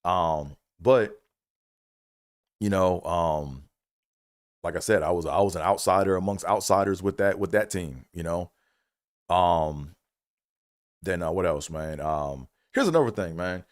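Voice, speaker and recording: A middle-aged man from Maryland with a very deep voice holds a casual solo livestream chat. He rambles loosely and leans on fillers and "you know what I'm saying?" The sound is dry and close, with no room around it. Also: unhurried, long pauses, dry recording. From Maryland, USA. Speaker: comedian and engineer hosting a livestream.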